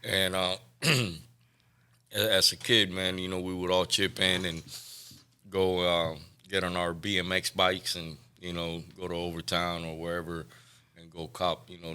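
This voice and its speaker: Low, bright-toned man from Cuba. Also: clear, resonant tone. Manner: candid, soft-spoken, trails off quietly. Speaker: guest. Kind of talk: recovery podcast interview.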